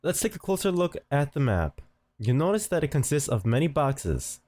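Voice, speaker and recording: A man's voice: on the high side, sing-song, normal speed, a little mellow, no echo. A man giving scripted instructional narration as the host of a tutorial, speaking close to the mic.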